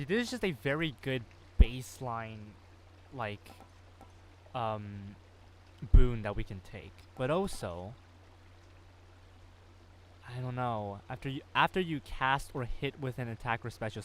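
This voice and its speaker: A young man streaming a video game, chatting in a rambling, casual way with many fillers, keeping his voice quieter than usual to rest it. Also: medium pitch, a little hiss or hum. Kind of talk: casual gameplay commentary. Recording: voice over loud game music.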